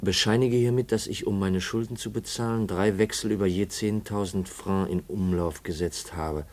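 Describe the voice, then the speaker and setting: A man plays an earnest young heir in vintage 1950s radio drama. His voice sits a little low, and he speaks directly, with anxious urgency in his questions. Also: steady tone, moderate pace, darkish tone, natural voice. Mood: intent. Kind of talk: 1950s German radio play acting.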